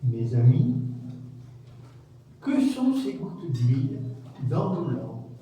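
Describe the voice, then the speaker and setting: A man reading a text aloud to the bride and groom at a wedding in a measured, solemn way. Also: a little high, very animated, warm tone, faint music behind. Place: wedding reception hall. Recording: very echoey hall, distant mic.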